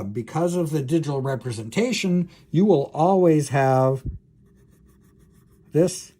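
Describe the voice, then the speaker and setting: A male professor with a husky, slightly high-set voice gives an informal technical lecture. He thinks aloud, halting and correcting himself as he works through an explanation. He speaks straight to the student, as if checking that they follow. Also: darkish tone.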